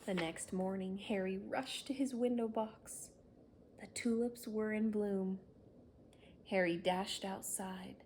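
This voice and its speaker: A woman's voice: mid-range voice, steady tone, takes it slowly, a little mellow, slight background noise. A woman reading a children's story aloud, narrating expressively and without hurry. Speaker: storybook reader.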